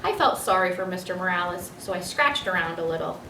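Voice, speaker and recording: Lady being a smug little storybook hamster telling his tale, expressive, distant roomy mic. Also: lowish voice, fairly quick, a little hiss or hum. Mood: playful, self-assured. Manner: expressive children's storybook reading. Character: clever, self-satisfied pet hamster narrating his adventures.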